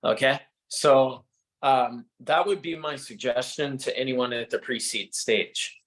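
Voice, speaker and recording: A man's voice: mid-range voice, measured pace, fairly bright tone, low-quality recording. A man who works as a venture capitalist, giving casual, filler-heavy advice to founders. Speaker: venture capitalist, panel speaker.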